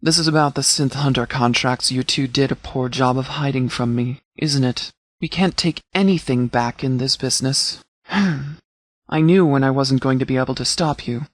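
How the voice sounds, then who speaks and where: An actor playing a stern but caring military commander in a video game, speaking in a measured, formal, authoritative way. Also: male voice, mid-range voice, no room sound, studio quality, lightly acted. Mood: grave, reflective. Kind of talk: scripted game dialogue.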